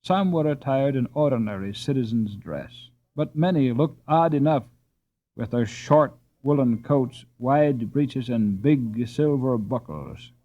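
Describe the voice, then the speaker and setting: A man reading a children's story aloud as an audiobook narrator, with an expressive and deliberate storytelling delivery. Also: medium pitch, dull audio. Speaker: audiobook reader.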